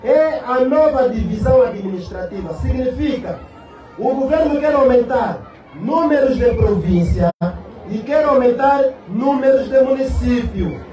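High-pitched Angolan man shouting a rally speech. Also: lively intonation, measured pace, lo-fi sound. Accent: Angolan Portuguese. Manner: impassioned, declamatory, repeats rhetorical questions to the crowd. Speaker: political party secretary addressing a rally. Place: open-sided outdoor rally stand under a canopy. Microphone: cluster of press microphones and PA. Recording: echoing PA, distant, noisy crowd. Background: rally crowd.

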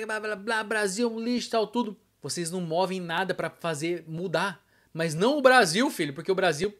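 Higher-pitched Brazilian man talking emphatically. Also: fairly bright tone. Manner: emphatic, colloquial, addresses viewers directly. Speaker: vlogger. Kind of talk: casual opinion vlog to camera. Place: small home room with arcade machine, indoors.

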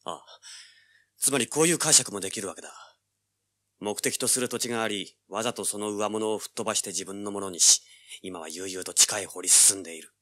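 An actor playing a tough, wary young treasure hunter in an audio drama, with a terse, dramatic delivery. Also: male voice, fairly low pitch, brisk, sharp tone, no room sound. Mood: musing. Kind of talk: audio drama acting with first-person narration. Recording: music under the voice.

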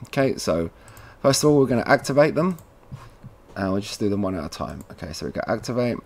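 A man presenting a casual, informal step-by-step software tutorial, talking to viewers like mates as he works at a computer. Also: on the low side, sing-song, quick pace, creaky. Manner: informal, addresses viewers as guys.

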